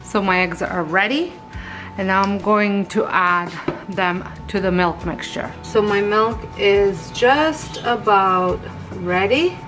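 Slow-talking woman narrating a home bake. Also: medium pitch, over music. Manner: relaxed, chatty, with fillers and self-corrections. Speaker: baking video host. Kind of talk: step-by-step baking narration. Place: home kitchen.

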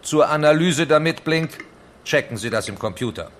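An actor playing a formal, commanding SWAT team chief who gives terse orders in a children's radio play. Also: male voice, a little high, animated, moderate pace, slight background noise, natural voice. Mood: stern, businesslike.

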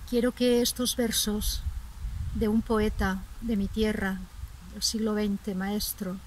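Slow solemn woman reciting verse. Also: mid-range voice, slight background noise. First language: Catalan. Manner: measured, dramatic pauses between phrases. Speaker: poet. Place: open dry countryside outdoors. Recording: outdoor ambience, voice a little distant.

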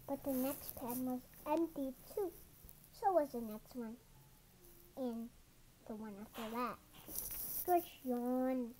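A storybook reader doing an expressive children's picture-book read-aloud, sometimes stumbling over words, recorded indoors at a table. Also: high-pitched, takes it slowly, pauses often, slight background noise, rough recording. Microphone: camera over the book.

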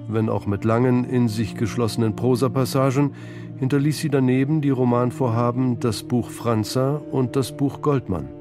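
A man reading scripted documentary narration for a radio feature in a measured, sober, literate manner. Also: mid-range voice.

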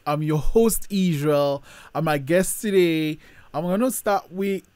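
A man's voice: fairly high pitch, measured pace, a little mellow, faint music behind. A man in his thirties hosting a panel interview, introducing guests and putting questions to them over a video call. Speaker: podcast host.

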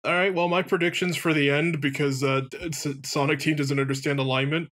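A man with a husky voice co-hosting a let's play with casual, self-deprecating joking commentary. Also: on the high side, steady tone, a little fast, a bit bright.

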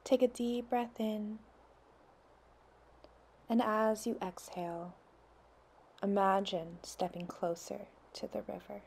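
A woman leading a guided meditation in a soft, soothing way, sometimes dropping to near whispers. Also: medium pitch, steady tone, slow, gravelly, long pauses, slight background noise.

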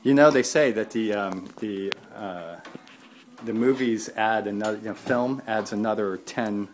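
A man interviewing someone casually, with halting, informal questions. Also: fairly low pitch. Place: indoors at home. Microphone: handheld phone, close behind the camera.

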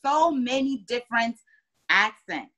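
Guest: woman in her 30s, bright, Ghanaian-American accent, lively storyteller over a webcam call from home. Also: a little slow. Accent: Ghanaian-American, in between. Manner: animated storyteller, acts out quoted voices with big pitch jumps. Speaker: interview guest, cooking creator. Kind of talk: casual video-call interview. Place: living room at home. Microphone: video call webcam.

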